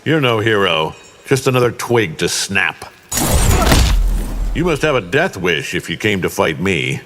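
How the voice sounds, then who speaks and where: An actor voicing a menacing, contemptuous alien villain in a video game. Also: male voice, low-pitched, very animated, a little slow. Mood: menacing contempt. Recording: long reverb, faint background noise.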